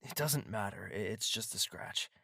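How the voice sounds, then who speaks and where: A man acts out a haughty prince for an audio drama. His delivery is clipped and deliberate, with a guarded core under the authority. The sound is very dry and close. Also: fairly low pitch, lively intonation, vocal fry, bright, forceful tone, breathy edge, no room sound, exaggerated voice. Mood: soft, concerned. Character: proud, domineering prince with a guarded soft side.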